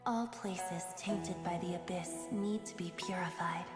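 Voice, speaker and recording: An actress playing a cold, merciless envoy of Heaven in a video game, stern and imperious with measured words. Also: female voice, lowish voice, fairly even intonation, close to an everyday voice. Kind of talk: dramatic video game voice acting. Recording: echoing voice under loud music.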